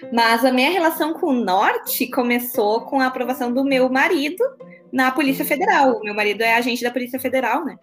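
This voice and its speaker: Woman, 30s, Porto Alegre gaúcho accent, fairly high voice, chatty guest, faint music behind. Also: a little fast. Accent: Porto Alegre (gaúcho) Portuguese. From Porto Alegre, southern Brazil. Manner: chatty, laughs easily, frequent fillers. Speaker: guest, court officer and study mentor. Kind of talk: casual interview chat.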